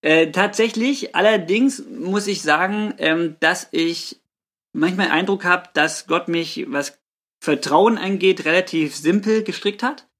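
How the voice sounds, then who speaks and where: A man in his late thirties from southern Germany with a fairly high voice. He talks casually and informally as a guest on a podcast. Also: unhurried, fairly bright tone. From Swabia, southern Germany. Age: late 30s. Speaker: podcast guest, youth church worker. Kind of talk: casual chat.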